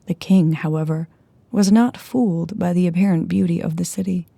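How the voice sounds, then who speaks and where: A woman narrator reading a scripted fairy tale in a measured storybook delivery, with phrase endings falling to a hush. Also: on the low side, creaky, no echo. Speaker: audiobook narrator. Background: music.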